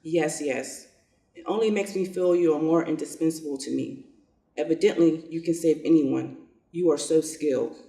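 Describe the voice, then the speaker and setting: A man playing a self-interested, lordly old general in a reader's theater piece, pompous and deliberate. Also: on the high side, gravelly, dark tone. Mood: self-assured, calculating. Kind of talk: reader's theater stage reading. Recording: echoey room, distant mic.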